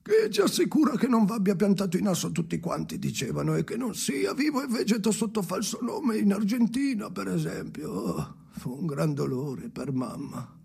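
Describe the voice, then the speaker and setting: An actor playing a haunted, restless man in an avant-garde radio drama monologue, theatrical and halting, with a gravelly voice and obsessive delivery. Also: high voice, sing-song, heavily acted. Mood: brooding, confiding. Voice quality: gravelly, creaky. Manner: theatrical, halting, obsessive, swinging from murmurs to shouts. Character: Henry, haunted, restless man talking to his dead father.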